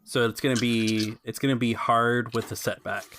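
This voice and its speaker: A man's voice: medium pitch, steady tone, moderate pace, background noise. A man hosting a podcast and narrating a tabletop role-playing game as game master.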